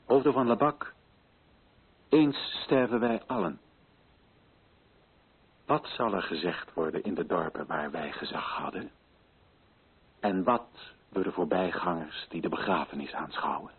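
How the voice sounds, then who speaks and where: Earnest colonial official, dramatically swooping oratory. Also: male voice, low voice, expressive, unhurried, pauses often, husky, exaggerated voice. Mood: solemn, grave. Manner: declamatory, formal, rhetorical questioning. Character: earnest, righteous colonial official, solemn orator to native chiefs. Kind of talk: theatrical radio drama oratory. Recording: muffled lo-fi old radio recording.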